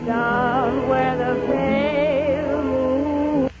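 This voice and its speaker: A woman's voice: sits high, flat delivery, very slow. A woman singing a 1930s popular song on a radio variety show. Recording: muffled 1930s radio broadcast with loud music. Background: loud musical accompaniment.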